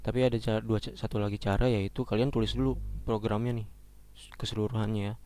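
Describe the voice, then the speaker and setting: Slightly hoarse man teaching coding, halting. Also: lowish voice, fairly even intonation, warm tone. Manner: casual, halting, many fillers and restarts. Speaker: programming lecturer. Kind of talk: screen-recorded coding lesson.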